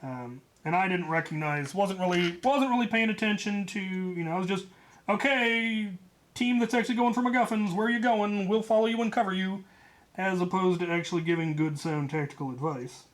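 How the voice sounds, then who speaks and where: A man telling a story in a casual, rambling way as host, leaning deliberately on his key points. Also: sits high.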